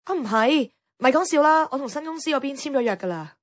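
An actress playing a frustrated, outspoken young radio worker in a Cantonese radio drama, with a Hong Kong accent and wry, expressive, colloquial delivery. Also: female voice, high-pitched, fast talker, no echo, clean studio sound. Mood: hesitant. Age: young adult. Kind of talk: radio drama acting with inner-monologue narration. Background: background music.

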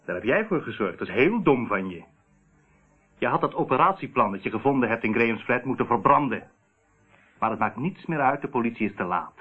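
Crime kingpin, boastful, mocking, cold menace, radio play, mid-pitched male voice, limited-band sound. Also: animated, brisk, slightly rough, narrow, tinny audio. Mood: smug, gloating. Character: smug, ruthless male crime syndicate boss, boastful and cold.

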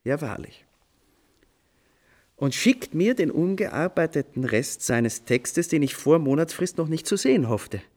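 An actor playing a playful, impulsive composer who tells stories in an animated, ornate, old-fashioned way for a German radio play. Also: male voice, fairly high pitch, unhurried. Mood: excited, absorbed. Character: playful, impulsive male composer recounting his nighttime inspiration.

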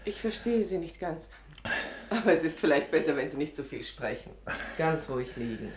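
An actress playing a helpful, gently firm village woman in a 1950s radio play, composed and solicitous in her speech. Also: sits low, sing-song, normal speed. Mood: soothing. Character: helpful, brisk, caring village woman, trained in nursing. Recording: roomy 1950s radio recording, faint hiss.

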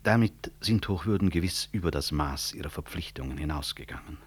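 An actor playing a proud, wronged physician and professor in a 1960s German radio drama, formal, measured and dryly ironic. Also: male voice, very deep voice, expressive, husky, full character voice. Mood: dry courtesy. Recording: 1960s radio recording, faint noise.

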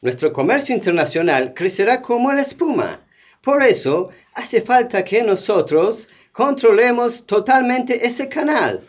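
An actor playing a domineering North American official, assertive and declamatory, in satirical radio theatre. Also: male voice, very high-pitched, sing-song, unhurried, exaggerated voice. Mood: commanding. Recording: dull lo-fi audio.

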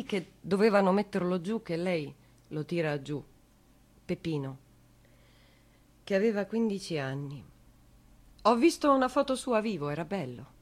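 A woman narrating as dramatic theatre, in halting short phrases. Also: medium pitch. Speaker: actress and narrator.

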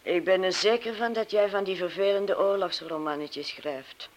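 A man's voice: high-pitched, fairly even intonation, moderate pace, natural voice. A young man played by a male actor in a radio play, chatty and restless. He is disillusioned with everything, and he questions and cajoles as he talks. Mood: pleading, teasing.

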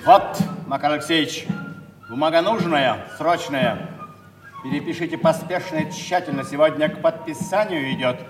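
An actor in an audio drama playing a brisk, officious office superior handing out orders. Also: male voice, fairly high pitch, lightly acted. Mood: businesslike urgency. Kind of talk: theatrical audio drama acting. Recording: echoey hall, distant, music behind.

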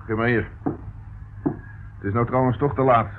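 An actor playing a dry, sensible police detective in a radio play, speaking matter-of-factly. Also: male voice, medium pitch, fairly even intonation, unhurried, natural voice. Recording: narrowband old radio recording, clear noise.

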